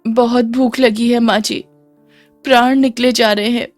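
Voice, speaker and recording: A woman's voice: high voice, flat delivery, normal speed, no room sound, soft background music, pitched above the performer's own voice, lightly acted. A woman voicing a desperate, abused young daughter-in-law in a drama story, tearful and begging. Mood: tearful pleading.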